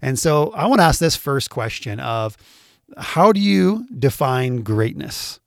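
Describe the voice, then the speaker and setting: A man in his thirties hosts a casual podcast interview. His voice sits at a middling pitch in a very dry recording, and he talks conversationally with a lightly teasing touch. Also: very animated, a little slow, gravelly, dry recording. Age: 30s.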